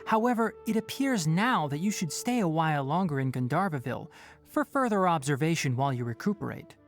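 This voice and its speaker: A woman voicing a composed, frank young male forest ranger master in a video game, measured, articulate and instructive. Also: sits low, lively intonation, soft background music. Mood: calm, cautioning.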